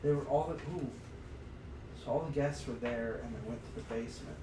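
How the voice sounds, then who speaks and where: A man co-hosting a podcast in informal, exclamatory chat, thinking out loud as he goes. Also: medium pitch, brisk. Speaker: podcast co-host. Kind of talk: casual chat, reasoning aloud and reading story passages. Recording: roomy, noisy livestream, distant from mic.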